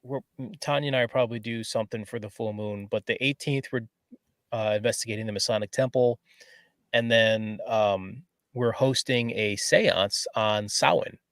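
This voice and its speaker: A man's voice: lowish voice, moderate pace, fairly bright tone, no echo. A man who investigates the paranormal, chatting casually and explaining things in stop-start phrases. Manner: explains in stop-start bits, repeats words. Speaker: paranormal investigator, podcast regular. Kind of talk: casual chat.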